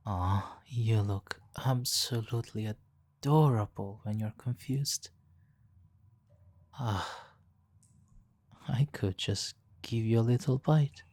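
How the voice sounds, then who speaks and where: A man playing a smug, flirtatious supervillain in an ASMR roleplay, teasing and mock-polite, with savoring pauses. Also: fairly low pitch, darkish tone, no room sound. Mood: teasing, confiding. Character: smug, flirtatious male supervillain toying with a captured hero. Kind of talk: ASMR roleplay, slow intimate monologue. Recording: faint background noise.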